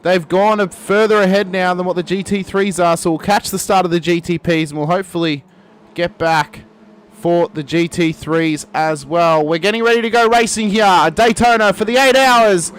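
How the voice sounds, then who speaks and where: A man giving lively play-by-play commentary on a sim race. He talks straight to the viewers and lifts his voice for the big moments. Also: high voice, moderate pace, clean tone.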